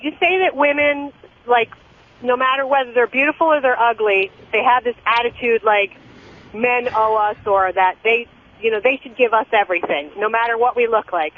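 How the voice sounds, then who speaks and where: A woman's voice: a little high, normal speed. A woman phoning a radio show, putting a question to the host in a conversational way. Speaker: radio call-in caller. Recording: tinny phone line over radio.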